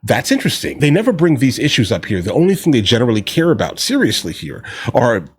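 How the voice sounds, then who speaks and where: A man's voice: mid-range voice, huge pitch swings, fast talker. An American man giving casual political commentary as a reaction video host.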